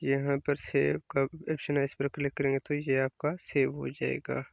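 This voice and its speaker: A man's voice: mid-range voice, monotone, moderate pace, vocal fry, no room sound. A man hosting a casual, step-by-step app tutorial in an instructional way, often repeating his phrases. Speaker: tutorial host. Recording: dull lo-fi close dry recording.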